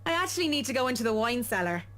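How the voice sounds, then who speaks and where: A woman's voice: a little high, sing-song, racing, fairly bright tone. An actress playing an anxious, evasive young professional woman in a game, with a polite, hesitant manner. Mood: nervous, evasive. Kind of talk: visual novel game voice acting.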